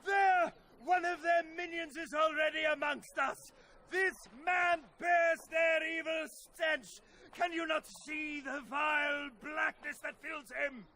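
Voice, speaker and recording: A raving prophet of doom for a fantasy video game. The voice is very high and forceful, drawn out slowly in fervent shouts, with music underneath. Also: male voice, steady tone, full character voice. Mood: frantic doom-crying. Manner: fervent, shouting, apocalyptic preaching. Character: raving doomsayer, frantic, prophesying slaughter by monsters. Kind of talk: video game cutscene acting.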